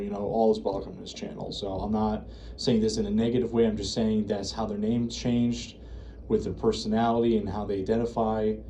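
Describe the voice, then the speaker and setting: American man, low mellow voice, casual unscripted commentary, rambling and hesitant, noisy recording. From United States. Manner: rambling, hesitant, self-correcting. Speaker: video creator reviewing horror series.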